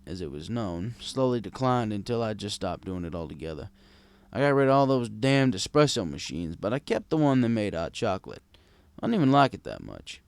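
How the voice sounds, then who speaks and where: A man narrates a tale in the first person as a kindly, world-weary old barkeep. His voice sits fairly low with a gravelly creak, and it moves in lively swings through the scripted lines. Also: dry recording. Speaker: audiobook narrator.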